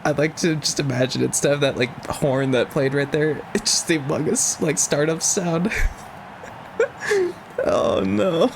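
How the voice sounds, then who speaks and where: A man's voice: on the high side, a little slow, background noise. A man giving casual, slangy livestream commentary on a game, in a joking, giggly manner. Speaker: game streamer. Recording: game music behind the voice.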